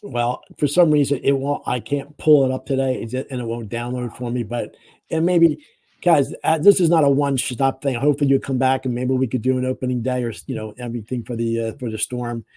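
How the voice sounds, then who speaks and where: Host: man, mellow tone, friendly and rambling, casual questions on a video call. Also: medium pitch, steady tone, a little fast, no echo. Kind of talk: casual interview chat.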